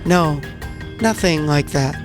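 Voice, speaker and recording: A man's voice: on the high side, slow, music in the background. A man playing a thirty-year-old doctor and agent who is cocky, weary and guarded. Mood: wry.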